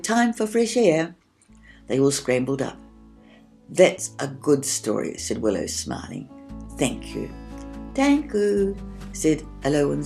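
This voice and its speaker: Female storyteller for a children's audiobook, low gravelly register, very animated melody, unhurried, faint music underneath. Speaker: audiobook reader. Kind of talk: scripted children's story narration with acted dialogue.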